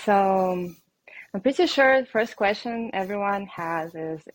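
A woman with a noticeable Russian accent answers interview questions, pausing often with ums and small laughs. Her voice sits at an ordinary pitch for a woman. The recording is close and dry with no room sound. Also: lively intonation, dry recording, poor audio. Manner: hesitant, many ums, laughs lightly. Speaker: guest coach.

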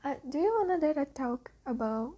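A teenage girl from Brazil, a native Portuguese speaker with a Brazilian accent, talking hesitantly and softly in a casual spoken diary. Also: high voice, lively intonation, brisk. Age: teens. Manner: hesitant, many ums, often whispery and soft. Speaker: diarist.

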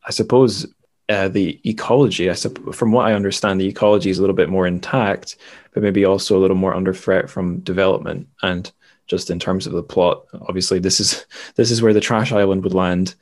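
A man's voice: deep voice, a little mellow. A man hosting a casual book discussion, informal and tentative, often qualifying what he says. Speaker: podcast host.

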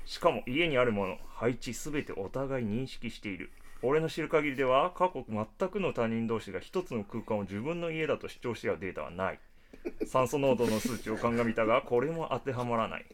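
A man's voice: medium pitch, close to an everyday voice. A man playing an eccentric, bossy data scientist with a lecturing, analytical manner in a radio drama. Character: eccentric, bossy male data scientist and obsessive anime fan. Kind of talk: Japanese radio drama acting.

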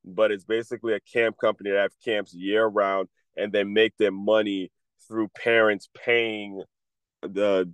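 Congested man, low dry voice. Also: clean tone, no room sound. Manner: rambling with frequent restarts. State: congested, under the weather. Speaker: podcast host. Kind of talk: casual solo talk, advice to athletes.

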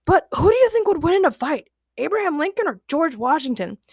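A woman voicing a proud, loud, excitable man who loves to argue, in a fan fiction reading. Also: sits high, brisk, no room sound, studio quality, voice pushed up. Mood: argumentative. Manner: shouting, stammering when caught out, boastful.

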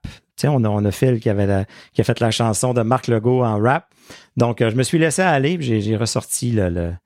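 A man's voice: medium pitch, darkish tone, dry recording, studio quality. A man with a Quebec French accent, talking casually as a sports podcast co-host.

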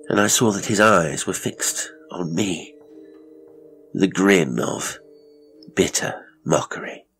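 A man's voice: low voice, fairly bright tone, full character voice. A man voicing a proud, rattled aristocratic statesman in an audiobook, with a haughty, disdainful way of telling his story. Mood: uneasy. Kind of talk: audiobook character reading.

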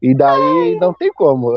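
A man from Brazil, a teacher hosting a podcast in casual chat, talkative and quick to laugh. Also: medium pitch, lo-fi sound. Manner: chatty, laughing often, drawn-out asides.

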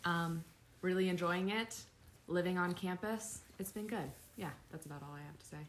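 A young adult woman, a podcast guest with a voice worn low from yelling, speaking briefly and laid-back. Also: normal speed, slight background noise, rough recording.

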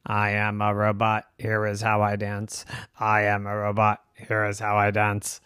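A storyteller voicing a sociable, literal-minded robot in a stilted robotic monotone. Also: low voice, a little slow, clear, resonant tone, dry recording, clean studio sound, pitched below the performer's own voice. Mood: matter-of-fact. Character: sociable robot friend, sad and stubbornly literal.

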